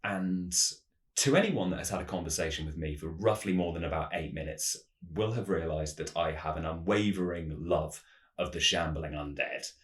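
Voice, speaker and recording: A man with a very deep voice narrates as the host of a tabletop gaming channel. His delivery is measured and storyteller-like, explaining in scripted fashion. The recording has a clearly roomy echo. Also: fairly bright tone.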